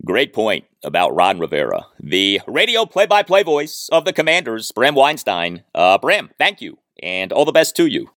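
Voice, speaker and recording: A man hosting a sports podcast, reading out promotion in a deliberate, list-like way with repeated phrases. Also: mid-range voice, wildly swooping pitch, a bit bright, no echo, studio quality.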